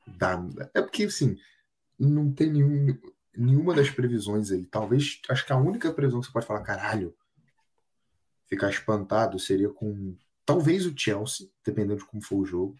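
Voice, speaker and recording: A man's voice: lowish voice, animated, a little fast, darkish tone, breathy edge. A Brazilian man co-hosting a podcast, chatting casually about football, joking and swearing a lot. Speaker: podcast co-host.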